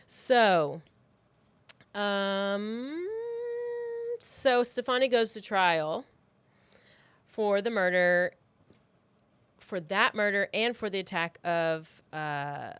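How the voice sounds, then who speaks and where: A woman's voice: mid-range voice, drawn-out words, long pauses, fairly bright tone, clean tone, no room sound. A woman co-hosting a true crime podcast, telling the story in a casual, loosely scripted way with wry asides.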